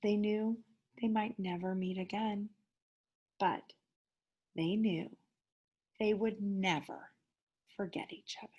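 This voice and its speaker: A woman's voice: medium pitch, fairly even intonation, slow pace, pauses often, mellow, breathy edge, dull audio. A woman teacher reading a children's story aloud expressively, leaning dramatically on key words.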